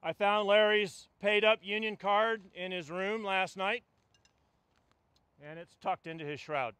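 An older man delivering a eulogy outdoors into a stand mic. His voice sits high and bright, with a dry, close sound. He tells stories with wry humor. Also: steady tone, unhurried, smooth, dry recording. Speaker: union delegate giving a eulogy. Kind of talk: funeral eulogy. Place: outdoors at a funeral gathering among trees.

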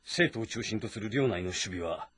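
An actor playing a composed, respectful military strategist who gives measured, formal briefings in a historical game drama. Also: male voice, fairly low pitch, a bit bright, slightly rough. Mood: composed, assured.

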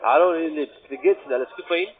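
A man's voice: a little high, racing, slightly rough. A man making a cold sales call as a call-center agent. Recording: tinny phone line with music behind.

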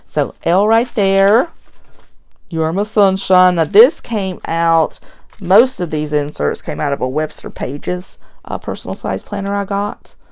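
Low, scratchy American woman chatting casually. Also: unhurried, gravelly, a little mellow, clear, resonant tone. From United States. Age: middle-aged. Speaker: host of a planner flip-through vlog. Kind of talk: casual chatty show-and-tell. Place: indoors at a tabletop. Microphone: tablet filming from above the table.

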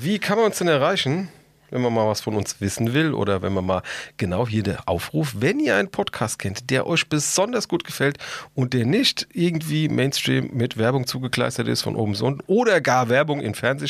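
Male podcast co-host: husky, creaky, average pitch, easygoing and jokey. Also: no room sound. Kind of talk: casual podcast chat.

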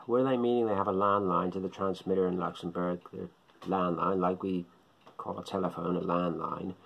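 A man's voice: low voice, gravelly. A middle-aged man, talking casually to camera in a vlog, rambling and musing aloud and repeating himself. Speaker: shortwave radio hobbyist vlogger. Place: small indoor living room. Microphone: phone or webcam at close range.

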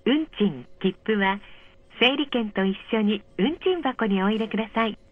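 A woman reading a polite, measured scripted announcement over a train's speakers, clearly enunciated. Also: medium pitch, expressive, no echo. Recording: dull, muffled train speaker recording.

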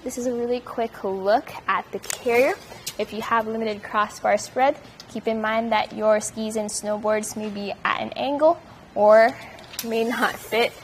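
Young woman showing off a roof rack, upbeat and explaining as she goes, voice on the higher side, faint room hum. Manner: upbeat, explanatory, hands-on while demonstrating. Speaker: product reviewer. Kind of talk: product demonstration.

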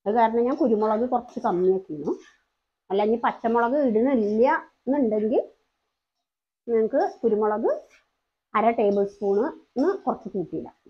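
A woman's voice: fairly high pitch, moderate pace, pauses often, husky, lo-fi sound. A woman hosting a home cooking channel, talking through each step in a chatty, explaining way. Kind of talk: cooking demonstration voice-over.

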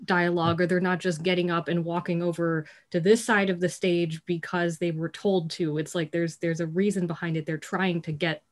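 A young woman on a video call, casually telling a story in an animated, halting way with lots of "like". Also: fairly low pitch. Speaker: actor in a community theatre production. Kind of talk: casual reflective anecdote. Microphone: video call computer mic.

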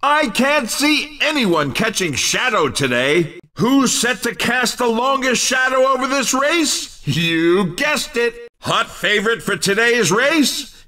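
High-pitched, hyped racing game commentator. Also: male voice, sharp tone, distant mic, heavily acted. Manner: punchy, showy, playful delivery. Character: hyped, jokey male arcade racing commentator. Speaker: race commentator in a video game. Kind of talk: excited sports commentary voice lines.

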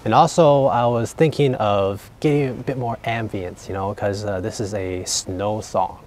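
Young male music vlogger, low-ish relaxed voice, outdoor talk over background music. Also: measured pace. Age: young adult. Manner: relaxed, thinking aloud with fillers. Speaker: vlogger and songwriter. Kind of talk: casual explanatory vlog talk. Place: outdoors by a lake in winter.

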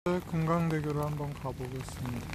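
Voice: mid-range voice, a little fast. A travel vlogger commenting casually and spontaneously while sightseeing, musing to themselves with murmured exclamations. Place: outdoors on a bridge and harbour waterfront in rain. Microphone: handheld phone or camera held by speaker. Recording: noisy outdoor phone recording, rain and traffic.

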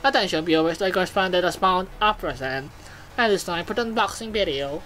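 A woman's voice: fairly low pitch, fairly quick, a bit bright, soft background music, natural voice. A woman voicing a vain, gushing showbiz robot who presents TV news in a video game, with theatrical announcer phrasing. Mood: excited, showy.

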